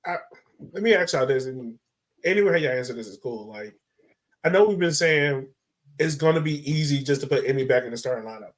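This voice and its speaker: A man co-hosting a sports podcast in informal, halting chat peppered with filler words. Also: medium pitch, animated.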